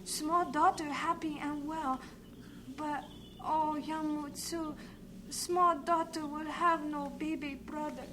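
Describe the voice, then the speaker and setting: Casting: humble Chinese servant, very slow delivery, formal broken English, vintage radio drama with clear background noise. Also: female voice, high-pitched, fairly even intonation. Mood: sorrowful. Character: deferential Chinese servant woman bearing bad news. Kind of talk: old-time radio drama acting. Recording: noisy old radio drama recording.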